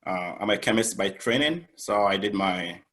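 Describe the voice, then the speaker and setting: Low-voiced man from Ghana, chemist, halting panel intro. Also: lively intonation, a bit bright. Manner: halting, many "um" and "you know". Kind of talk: self-introduction on an online panel.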